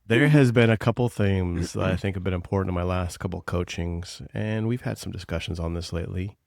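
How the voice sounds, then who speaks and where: A man's voice: sits low, a little fast, creaky, darkish tone, dry recording, clean studio sound. A man co-hosting a podcast as a coach, talking in a blunt, teasing, earnest way. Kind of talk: casual podcast chat.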